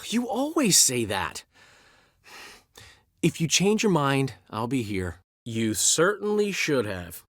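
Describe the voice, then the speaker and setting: Vain witty bard, middle-aged, theatrical and teasing, pitch swoops all over, dry studio sound. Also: male voice, wildly swooping pitch, slow, dry recording, heavily acted. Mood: wry. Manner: theatrical, teasing, showman's flourish. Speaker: game character. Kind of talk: video game voice acting. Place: recording studio. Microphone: close studio mic.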